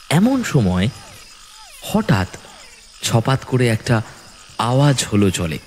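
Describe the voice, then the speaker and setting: A man narrating a scripted horror story in a dramatic, suspenseful way with deliberate phrasing. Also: mid-range voice, a little hiss or hum.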